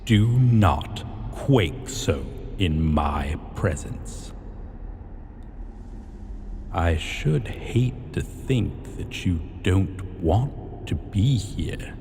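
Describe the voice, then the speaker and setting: A man voicing an arrogant, possessive dragon king in a fantasy ASMR roleplay, speaking in pompous, deliberate, archaic formal phrases. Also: deep voice, lively intonation, vocal fry, exaggerated voice. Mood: smug. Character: arrogant, possessive male dragon king, ancient hoard-keeper. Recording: long cavernous reverb, faint noise.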